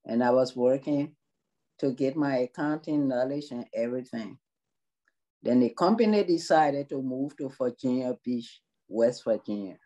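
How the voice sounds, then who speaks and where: A woman's voice: extremely low pitch, animated, warm tone, lo-fi sound. A middle-aged woman from Liberia with a Liberian accent, a long-term care worker speaking earnestly in a video-call interview. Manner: slow, earnest, measured. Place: small room indoors.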